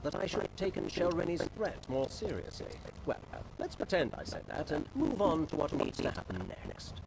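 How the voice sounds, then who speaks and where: An actor playing a self-important wizard magister in a video game, speaking formally and pompously. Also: male voice, fairly high pitch, sing-song, normal speed, creaky, very noisy. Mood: grudging, dry. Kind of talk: video game character dialogue.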